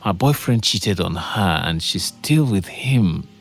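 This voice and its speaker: An actor with a Nigerian accent playing a lovesick, self-doubting young copywriter in a conversational, hesitant audio drama style. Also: male voice, low voice, expressive, takes it slowly, soft background music, exaggerated voice. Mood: defensive, lovesick. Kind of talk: naturalistic audio drama acting.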